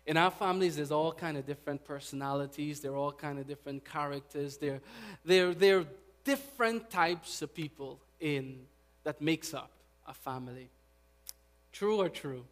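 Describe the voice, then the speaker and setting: Guyanese-accented preacher, a bit high-pitched. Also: male voice, moderate pace. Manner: rising to loud emphatic preaching, with prayerful soft passages. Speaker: pastor. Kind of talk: sermon.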